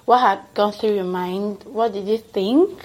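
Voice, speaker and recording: A woman with a Nigerian accent hosting a podcast interview, enthusiastic and quick to laugh, with many exclamations. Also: medium pitch, rough recording. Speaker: podcast host. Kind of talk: casual interview chat.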